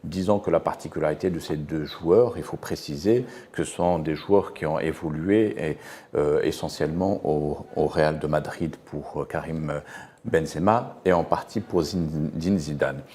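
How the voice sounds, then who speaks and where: A man speaking as a panel guest on a TV studio talk show, adding measured, precise explanations broken by fillers. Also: rumbling low, warm tone.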